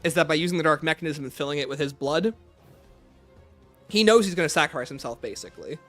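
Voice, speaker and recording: A man with a fairly high voice talks casually as a livestream host. His pitch moves around a lot as he fields questions in an offhand way, with faint music playing behind him. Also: expressive, fast talker. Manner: reads questions aloud, then answers offhand. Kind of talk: casual livestream chat answering viewer questions.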